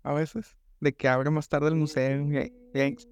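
A man's voice: on the high side, little pitch movement, measured pace. A young man with a Monterrey accent, co-hosting a casual podcast chat in rambling slang. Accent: northern Mexican (Monterrey). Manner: slangy, rambling, says "güey" constantly. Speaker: podcast co-host.

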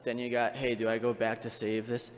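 A man's voice: mid-range voice, completely flat delivery, fairly quick. A man speaking as an audience member at a live panel, explaining his view through examples. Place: large convention panel room, on audience microphone. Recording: echoey hall microphone with background noise.

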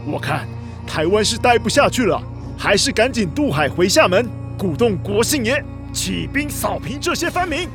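Slimy silver-tongued schemer, high voice swooping all over, radio play with music. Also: male voice, moderate pace. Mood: defiant, plotting. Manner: oily, flattering, sneering. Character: scheming, sycophantic male informer with a silver tongue. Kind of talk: theatrical radio drama acting.

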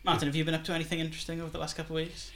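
A Welsh man co-hosts a podcast in a casual chat, full of teasing asides. He talks fast, his voice sitting a little higher than most men's. Faint room noise hums behind him. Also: monotone, a bit bright. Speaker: podcast co-host.

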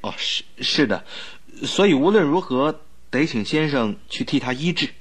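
A loyal, slightly anxious doctor in a radio play. He has a medium-pitched voice and speaks with humble, earnest courtesy. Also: lively intonation, moderate pace, breathy edge. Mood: humble, pleading. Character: polite, loyal male doctor, anxious and deferential. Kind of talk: Mandarin radio drama acting.

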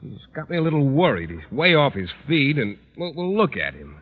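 A man's voice: mid-range voice, very animated, fairly quick. A man playing a shy, earnest, worried biology teacher in a 1940s radio comedy. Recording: muffled old radio recording.

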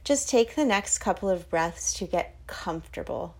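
A woman hosting a guided sleep meditation, giving soothing instructions with breathy phrases that often trail off toward a whisper. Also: lowish voice, animated, moderate pace, a little mellow.

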